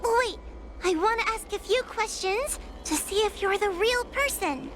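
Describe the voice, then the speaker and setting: A woman's voice: very high-pitched, animated, measured pace, noisy background. An actress playing a timid, anxious but earnest young girl in an anime-style game, speaking hesitantly. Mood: hesitant, nervous.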